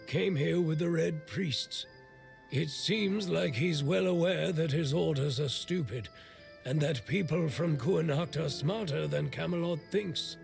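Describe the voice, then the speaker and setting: An actor playing a gruff, irreverent old smith in a video game, with a gravelly voice and a blunt, sardonic way of talking. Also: male voice, fairly high pitch. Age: older. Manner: blunt, foul-mouthed, sardonic. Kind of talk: video game character dialogue.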